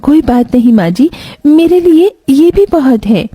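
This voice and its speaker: Lady doing a sweet young village bride for a story, pitched up high, respectful and helpful. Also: lively intonation, unhurried, mellow, no room sound. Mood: respectful, eager. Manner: respectful, eager to help.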